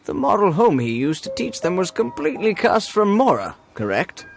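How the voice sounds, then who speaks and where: A man's voice: high voice, very animated, moderate pace, creaky, slight background noise, heavily acted. A man playing a devout, argumentative believer in a video game, declaiming his challenges. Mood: challenging, righteous. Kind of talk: scripted video game dialogue.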